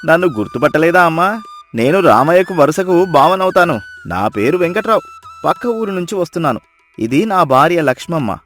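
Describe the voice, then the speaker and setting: A man voicing a hearty, friendly visiting village in-law in a moral story, loud and exclaiming in a folksy way. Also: a little high, expressive, a little fast, faint music behind. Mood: hearty greeting. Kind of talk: storybook dialogue acting.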